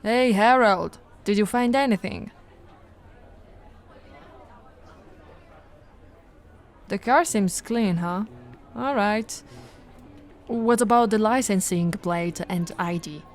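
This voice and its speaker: A woman voicing a flirty, no-nonsense female police officer in an ASMR roleplay, switching between firm orders and soft, teasing coaxing. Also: medium pitch, measured pace, lightly acted. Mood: brisk. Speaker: voice actress.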